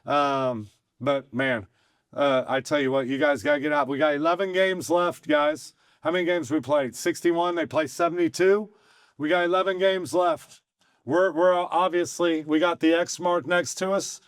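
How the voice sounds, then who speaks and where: A middle-aged man with a hoarse, fairly high voice rambles along in folksy, excitable bursts, stopping and restarting his sentences. The sound is dry and close, with no room echo. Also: normal speed. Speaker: host and bar owner. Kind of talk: casual podcast chat.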